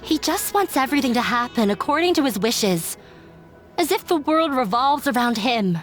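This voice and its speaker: A woman's voice: high voice, lively intonation, normal speed, faint music behind. An actress playing a dejected, self-sacrificing young woman in an anime game dub, confiding in a sorrowful, resigned way. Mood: bitter, resigned. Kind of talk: English-dubbed anime game voice acting.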